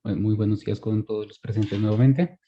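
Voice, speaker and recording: A man's voice: lowish voice, steady tone, fairly quick, warm tone, slight background noise. A man giving a formal academic presentation over a muffled video call. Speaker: conference presenter. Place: online video conference.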